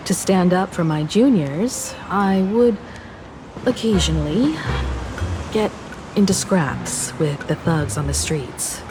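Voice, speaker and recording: A woman voicing a composed, wistful young woman in a game story, talking in a measured, reflective way. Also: fairly low pitch, noisy background. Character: composed, wistful young woman, former scrappy martial artist student. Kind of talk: scripted game story dialogue.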